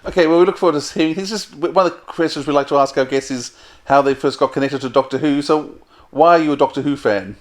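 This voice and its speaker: A man's voice: a little high, quick pace. A man casually hosting a podcast interview and putting questions to a guest.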